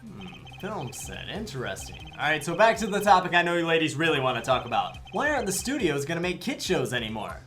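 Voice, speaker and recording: Girl doing a sardonic young lawyer guy for a game dub, lowish animated voice, music behind. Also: brisk, few pauses. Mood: wry, persuasive. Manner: sardonic, questioning. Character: young male defense lawyer, wry and persistent. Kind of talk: video game fandub voice acting.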